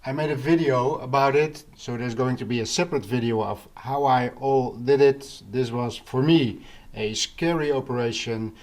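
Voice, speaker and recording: A man hosting a casual audio gear vlog, explaining things informally and pausing mid-sentence as he goes. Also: medium pitch, darkish tone. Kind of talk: casual informal product talk to camera.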